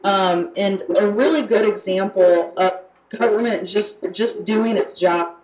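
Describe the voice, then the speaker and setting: A woman's voice: medium pitch, a little slow, a little mellow, faint music behind. A young American woman talking casually on a radio show, chatty with many fillers and restarts. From United States. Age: young adult. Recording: roomy, muffled radio recording.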